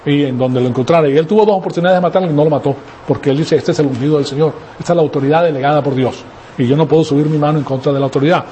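A man's voice: a little high, very fast, dark tone, a little hiss or hum. A man raised in Venezuela preaching a sermon, emphatic, asking rhetorical questions and tagging lines with "ok?". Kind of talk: sermon teaching.

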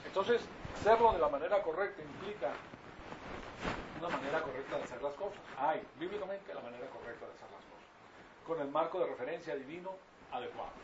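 Older Venezuelan preacher, muffled and distant. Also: male voice, a little high, animated, fairly quick. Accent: Venezuelan Spanish. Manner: didactic, repetitive, with rhetorical check-in questions. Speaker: preacher teaching a congregation. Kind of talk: informal Bible-teaching sermon. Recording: distant mic, muffled, clearly noisy.

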